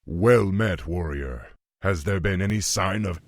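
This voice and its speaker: A man playing a young orc war chief in a fantasy game, noble and commanding, speaking gravely and formally with a gravelly voice. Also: deep voice, a little slow, a little hiss or hum. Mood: calm authority. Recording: game music behind the voice.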